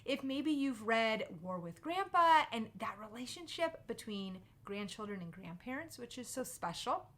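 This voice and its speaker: A woman's voice: medium pitch, bright, forceful tone. A woman hosting a book recommendation video, speaking to viewers in an enthusiastic, emphatic presenter style. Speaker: host of a children's book recommendation channel. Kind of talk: upbeat scripted book review to camera.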